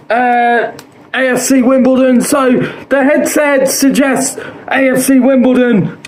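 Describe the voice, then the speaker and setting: A man with a hoarse, fading voice hosts his own show, predicting football scores in a chatty, repetitive way and filling pauses with "uh". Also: extremely high pitch, poor audio. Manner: chatty, quotes odds, repeats himself, frequent "uh".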